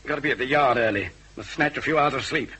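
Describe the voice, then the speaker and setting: A man's voice: mid-range voice, quick pace, sharp tone, husky, rough recording. A man playing a weary, matter-of-fact British Scotland Yard detective who speaks in clipped phrases, in radio drama style. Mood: tired, businesslike. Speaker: supporting actor in detective drama.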